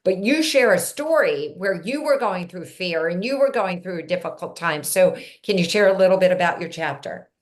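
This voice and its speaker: A woman's voice: lowish voice, expressive, normal speed, few pauses. A woman hosting an interview, asking long casual questions with restarts and fillers. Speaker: host.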